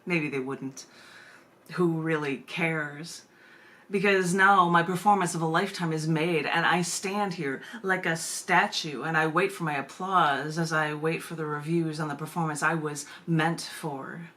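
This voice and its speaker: Lady poet hosting an online open mic, kinda low voice but really bright, informal. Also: steady tone, measured pace. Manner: informal, giggly, digressive asides in chat. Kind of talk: chatty hosting of a virtual poetry reading, with poems read aloud. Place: virtual meeting, live video stream.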